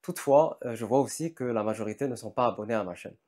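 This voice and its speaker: A man hosting an interview, asking questions in a relaxed conversational way. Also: mid-range voice, quick pace. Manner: conversational, brief prompts. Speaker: interviewer, channel host.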